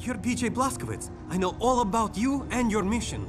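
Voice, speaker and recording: A frightened young scholar prisoner. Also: male voice, high voice, animated, brisk. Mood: pleading. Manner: pleading, shaken. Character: frightened young male occult scholar captive. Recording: game audio with loud music behind.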